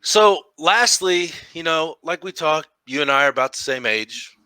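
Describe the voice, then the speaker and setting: A man in his thirties interviewing a fighter, relaxed and agreeable. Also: a little high, moderate pace, clear, resonant tone, no room sound. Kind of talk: casual interview.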